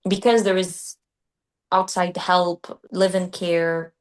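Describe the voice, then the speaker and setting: Podcast co-host, female, grew up in Qatar; thoughtful, measured delivery with mid-sentence restarts. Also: fairly low pitch, level tone. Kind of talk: casual discussion.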